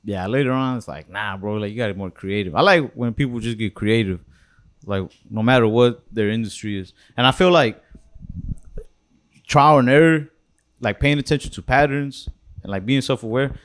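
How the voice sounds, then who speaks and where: A young man hosting a podcast, talking in a mellow, laid-back way with lots of "bro" and "like". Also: mid-range voice, normal speed. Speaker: comedian, podcast host. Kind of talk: casual podcast chat.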